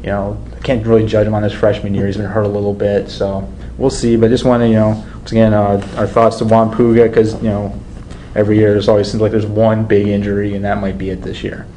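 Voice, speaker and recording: A man's voice: on the low side, monotone, fast talker, mellow, slight background noise, low-quality recording. A man hosting a sports talk webcast in a casual, chatty style.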